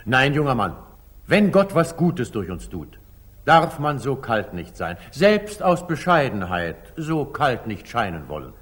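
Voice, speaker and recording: A husky-voiced, commanding older sultan in an old radio play. His stately verse swings widely from soft musing to ringing command, indulgent and authoritative at once. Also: medium pitch, big pitch swings, a little slow, heavily acted. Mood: gently chiding. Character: magnanimous older sultan, commanding yet indulgent, ruler of Jerusalem. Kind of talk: 1940s German radio drama acting, classical stage verse. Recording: slightly muffled 1940s radio studio recording.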